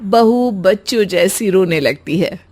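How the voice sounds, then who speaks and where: A woman's voice: mid-range voice, animated, takes it slowly, over music. A woman reading scripted story narration as the narrator.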